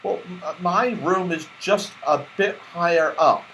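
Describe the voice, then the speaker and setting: A man voicing a friendly, helpful little magical man in a storybook, explaining a little haltingly. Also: sits high, fairly bright tone, slight background noise, voice pushed up, poor audio.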